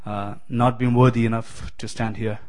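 Keralan man preaching with many pauses. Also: medium pitch, level tone, a little mellow. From Kerala, India. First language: Malayalam. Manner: hesitant, many fillers and restarts, friendly. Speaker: guest preacher. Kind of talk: sermon.

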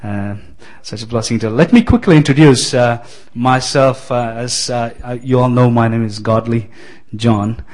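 Keralan man preaching with many pauses. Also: mid-range voice, a little mellow. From Kerala, India. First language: Malayalam. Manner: hesitant, many fillers and restarts, friendly. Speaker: guest preacher. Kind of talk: sermon.

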